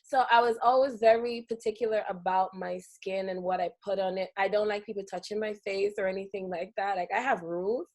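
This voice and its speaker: A woman's voice: medium pitch, fairly even intonation, a little fast, few pauses, darkish tone. A woman from Guyana hosting an interview, chatting casually and animatedly and laughing often. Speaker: podcast host.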